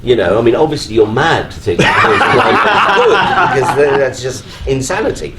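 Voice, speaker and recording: A man's voice: medium pitch, animated, moderate pace. A man chatting casually and nostalgically on a live panel, with a self-mocking, conversational manner. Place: on stage at a fan convention. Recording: noisy live hall recording.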